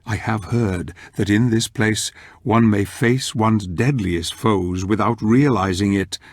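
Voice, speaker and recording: Grave, low-voiced gothic narrator. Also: male voice, no room sound, clean studio sound. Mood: foreboding. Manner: grave, measured, theatrical storytelling. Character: solemn older male narrator of a gothic fantasy. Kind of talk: scripted dramatic narration.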